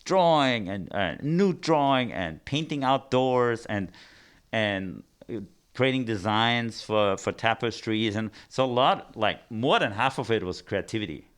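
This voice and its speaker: A man's voice: lowish voice, expressive, unhurried, a bit bright, clean tone. Rambling middle-aged Austrian man. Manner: rambling, many restarts and fillers. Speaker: arts program director. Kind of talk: casual interview storytelling.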